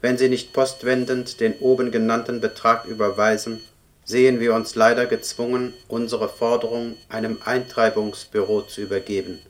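An actor voicing a book club's computer in a radio play, reciting formal demand notices in an impersonal, stiff way. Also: male voice, mid-range voice, little pitch movement, normal speed, natural voice. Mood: impersonal, official. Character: male book club computer reading out form demands. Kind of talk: scripted radio play, formulaic official notices. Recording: noisy, with background ambience.

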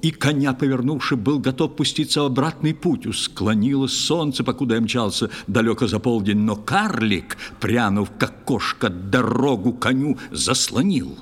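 A man narrating a verse tale in an audio play, with theatrical, expressive recitation. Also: mid-range voice, moderate pace, hardly any pauses, breathy edge. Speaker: actor-narrator. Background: music.

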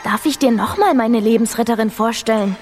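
An actress playing a bold, bossy young mouse princess in a children's radio play, pert and insistent. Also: female voice, fairly high pitch, fairly quick, a little mellow, natural voice. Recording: hall reverb, music underneath.

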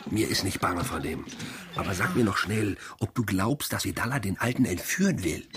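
An actor playing a blunt, inquisitive, slightly uneasy junior whaling mate in a radio drama. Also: male voice, low-pitched, animated, moderate pace, a crowd in the background. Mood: uneasy curiosity. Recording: clearly noisy sound-effects bed.

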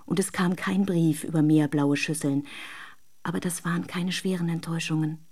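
A woman's voice: low-pitched, steady tone, moderate pace, darkish tone, husky. A woman acting an intimate radio drama monologue as a dreamy, self-deluding wife who longs for her lover, confiding in careful words. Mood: wistful, composed.

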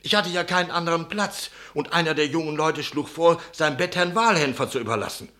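A man's voice: on the high side, a little fast, sharp tone. An actor playing an anxious, talkative innkeeper in a German radio play, telling his account dramatically and deferentially. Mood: shaken, recounting. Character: anxious, talkative male innkeeper giving testimony.

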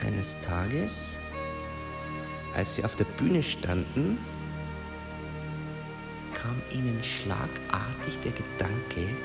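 An actor playing a brooding, solitary man in a literary radio drama, speaking in a halting, reflective way. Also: lowish voice, big pitch swings, vocal fry, over loud music, heavily acted. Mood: hesitant, reflective. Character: brooding solitary man recalling grief and lost love.

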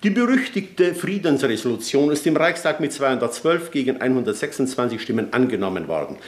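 A man's voice: medium pitch, sing-song, brisk, roomy sound, close to an everyday voice. An actor playing a cynical male friend who talks in a dry, laconic way in a radio play. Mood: sardonic. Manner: dry, laconic, reads a letter aloud. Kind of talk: 1950s German radio play acting.

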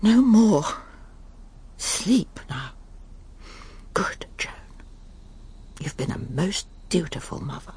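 A woman's voice: on the low side, very animated, takes it slowly, long pauses, mellow, husky, heavily acted. An actress playing a proper, self-satisfied middle-aged wife and mother in a radio drama, prim and clipped, anxiously reassuring herself. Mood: troubled, brooding. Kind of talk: radio drama acting, dialogue and inner monologue.

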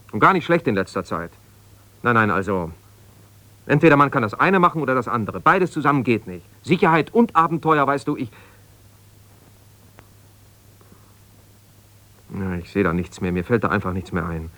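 An actor playing an ambitious, self-justifying lawyer in a German radio drama, speaking haltingly and conversationally as if on the phone. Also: male voice, fairly low pitch, sing-song, sharp tone, no echo. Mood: aggrieved, insistent. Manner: conversational, halting, with repeated phrases and pauses.

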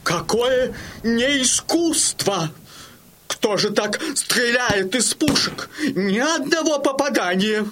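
An actor playing a superior, opinionated French military engineer in a Russian audio drama, deliberate and exclamatory. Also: male voice, very high-pitched, animated, noisy background, exaggerated voice. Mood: disdainful. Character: cool, admiring French military engineer, critical expert.

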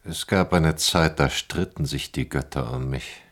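An actor playing a weary, middle-aged shipwrecked hero in a German radio play, brooding, resigned and melancholy. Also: male voice, fairly bright tone, full character voice. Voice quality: very deep, husky. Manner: slow, heavy, brooding delivery.

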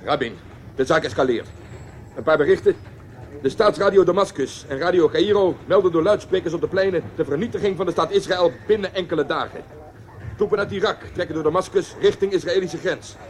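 An actor in a radio drama playing an urgent, commanding wartime intelligence chief. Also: male voice, sits high, brisk, close to an everyday voice. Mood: grave, urgent.

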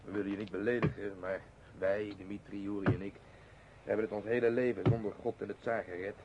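An actor playing a gruff, practical, unbelieving traveller in a radio play, blunt but polite. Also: male voice, lowish voice, little pitch movement, brisk, lightly acted. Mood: awkward, polite. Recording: muffled noisy old radio recording.

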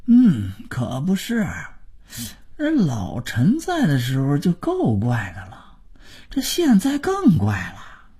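A wry old neighbor man who grumbles drily, as the male narrator plays him. His pitch rises and falls widely, with a husky, breathy grain. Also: wildly swooping pitch, takes it slowly, mellow, heavily acted.

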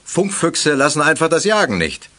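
A man's voice: on the high side, very animated, normal speed, pushed and bright. An actor playing a middle-aged, indulgent but wryly stern father in a German children's audio drama. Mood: amused, mock stern.

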